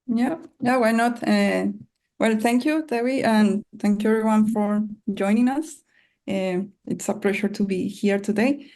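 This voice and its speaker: A woman's voice: medium pitch, a little slow, a little mellow. A middle-aged woman from Colombia, introducing herself as a guest on a webinar. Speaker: panel guest, data team lead. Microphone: video call.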